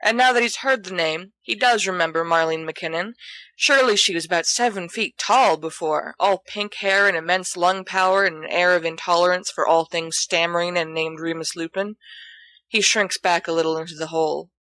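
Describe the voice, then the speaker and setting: A woman's voice: on the low side, normal speed, a bit bright, dry recording. A woman reading scripted fiction aloud as an audiobook narrator. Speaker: audiobook reader.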